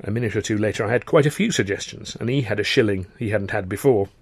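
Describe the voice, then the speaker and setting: A man's voice: lowish voice, fast, gravelly, husky, no echo. A man narrating a novel as a dramatised audiobook, with wry, wordy expression. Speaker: author reading his own novel.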